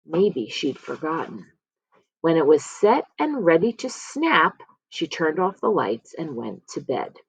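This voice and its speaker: A woman reading a children's picture book aloud as a storyteller, expressively, with dramatic pauses and emphasis. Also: deep voice, big pitch swings, husky, no room sound. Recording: slightly dull, lo-fi close recording.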